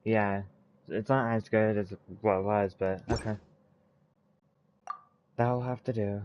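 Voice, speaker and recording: A man with a fairly low voice gives casual commentary on a game. He thinks aloud in halting, unplanned phrases. Clear background noise and clicks come through on the recording. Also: steady tone, dull audio. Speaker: gaming video creator.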